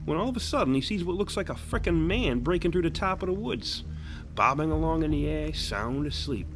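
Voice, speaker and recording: An actor playing a crude, sarcastic, shady bus driver who talks in a rambling, colloquial, hesitant way in a horror audio drama. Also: male voice, fairly high pitch, animated, fairly quick, natural voice. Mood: wry, eerie storytelling. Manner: colloquial, hesitant drawn-in storytelling, sardonic. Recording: voice over clear background music.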